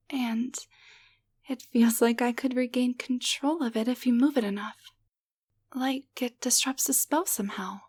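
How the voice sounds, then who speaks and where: A woman voicing a timid, grateful tiny enchanted doll in an intimate roleplay audio drama, speaking softly, gently and haltingly with a breathy voice. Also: on the high side. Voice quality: soft, breathy.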